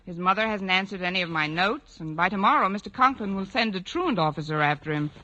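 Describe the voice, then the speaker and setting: An actress playing a wry, quick-witted high school English teacher in vintage radio comedy, with dry, crisply timed delivery. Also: female voice, expressive, pushed and bright, muffled recording. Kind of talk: 1940s-50s radio comedy acting, with narration.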